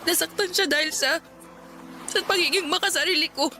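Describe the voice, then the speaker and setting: A dejected, guilt-ridden young woman in a radio drama. Her voice is high, with a polite, subdued, confessional manner over music. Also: a little fast, bright, forceful tone, heavily acted. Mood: remorseful. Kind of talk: Filipino radio drama dialogue.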